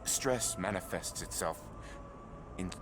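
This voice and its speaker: A man playing a troubled, defensive scientist, speaking in a halting, sardonic and anxious way. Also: sits low, noisy background. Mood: guarded, strained.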